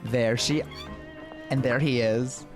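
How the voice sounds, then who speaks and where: A man's voice: medium pitch, fairly even intonation, slow. A man giving casual, wry reactions as he streams a game playthrough. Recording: game music behind the voice.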